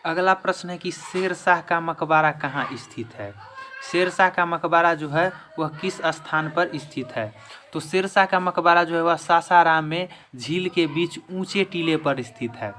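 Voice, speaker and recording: A man with a slightly high voice teaches in quiz style for exam students. He repeats each question and answer to drill it in. There is some noise behind him on the recording. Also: unhurried, sharp tone. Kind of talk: quiz-style history lesson.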